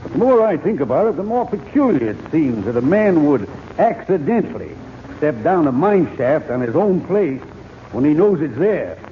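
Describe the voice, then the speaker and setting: An actor playing a stern, plain-spoken American sheriff in an old-time radio western. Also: male voice, fairly high pitch, sing-song, normal speed, a little hiss or hum. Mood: grave, suspicious. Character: stern, folksy western sheriff, measured and suspicious. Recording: tinny, band-limited old radio recording.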